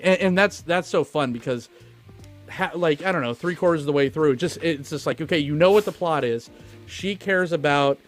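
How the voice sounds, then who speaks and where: A man hosting a podcast, talking casually and profanely about a film in an animated, informal way. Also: mid-range voice. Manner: animated, informal retelling with acted-out asides. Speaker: podcast host.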